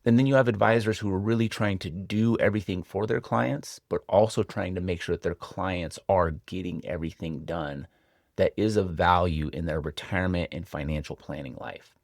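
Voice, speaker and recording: An American man working as a financial advisor and show host, explaining things to viewers in a measured, reflective way with rhetorical questions. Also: low-pitched, gravelly, no echo, clean studio sound. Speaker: financial advisor hosting a retirement planning show. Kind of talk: explanatory advice talk to camera.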